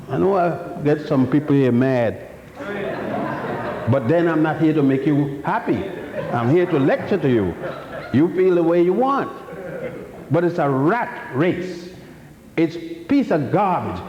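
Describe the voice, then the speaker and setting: An older man from Ethiopia giving a public history lecture, blunt and defiant, rambling with many restarts. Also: high voice. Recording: echoey hall, dull lo-fi sound.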